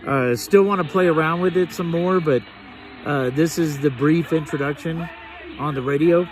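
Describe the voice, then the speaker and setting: A man's voice: on the high side, moderate pace, low-quality recording. A man talks through a gadget in a casual, hands-on way. He muses aloud as he goes, unscripted, like a hobby vlogger trying things out. There is some music in the background. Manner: unscripted, musing aloud while tinkering. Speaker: vlogger reviewing a radio.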